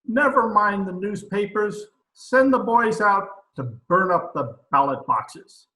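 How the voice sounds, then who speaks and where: Man doing a sharp, high-pitched blustering ruler. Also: lively intonation, takes it slowly, slight background noise, full character voice. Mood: furious. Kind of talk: old-time radio comedy-drama acting. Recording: roomy remote home recording.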